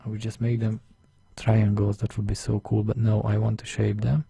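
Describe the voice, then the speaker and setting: A man with a Hungarian accent talks through a digital drawing lesson, explaining each step as he goes. His voice is low-toned, gravelly and a little husky, with a fairly flat delivery. The recording is close and dry. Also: moderate pace, dry recording. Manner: thinking aloud step by step, chatty and self-deprecating. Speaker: illustration instructor. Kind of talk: screen-recorded drawing tutorial voice-over.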